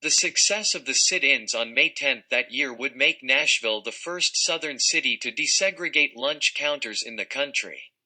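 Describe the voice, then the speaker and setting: A man narrates a written text in a scripted read-aloud style. His voice is very bright and crisp-edged, with a forceful delivery at an average pitch. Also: lively intonation, normal speed, hardly any pauses. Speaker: narrator reading an article.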